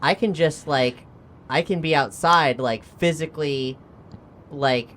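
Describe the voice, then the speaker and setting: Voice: mid-range voice, measured pace, fairly bright tone. A tabletop RPG player chatting casually and jokily, improvising and at times speaking in character.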